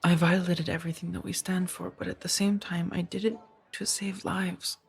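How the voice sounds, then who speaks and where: A woman acting in a soft-spoken ASMR roleplay as a kind, conflicted former warrior monk turned farmer, hesitant and earnest. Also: fairly low pitch, level tone, moderate pace, creaky, husky, soft background music, exaggerated voice.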